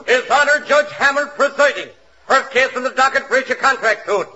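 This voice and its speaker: An actor playing a formal, declamatory court crier in a 1940s radio comedy. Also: male voice, very high-pitched, moderate pace, bright, forceful tone, heavily acted. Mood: official. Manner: declamatory, announcing. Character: loud, formal male court crier and lawyer. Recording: dull, noisy 1940s radio, audience.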